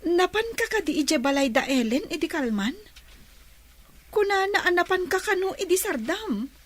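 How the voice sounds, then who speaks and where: Young Ilocano daughter, high voice, anxious and secretive, radio drama. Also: female voice, very animated, moderate pace. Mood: questioning. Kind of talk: radio comedy-drama acting.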